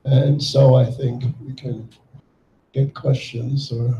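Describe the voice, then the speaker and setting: An older man giving a talk to an audience, speaking haltingly with many fillers. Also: mid-range voice, flat delivery, warm tone. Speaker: guest speaker, child refugee. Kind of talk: public talk with slides. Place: lecture hall before an audience. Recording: echoey hall.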